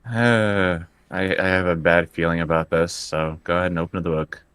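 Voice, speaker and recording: A man's voice: deep voice, steady tone, moderate pace, fairly bright tone, clean tone, no room sound. A man playing a tabletop role-playing game, chatting casually and often stammering as he searches for words. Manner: hesitant, stammering over words. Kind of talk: casual improvised game chat.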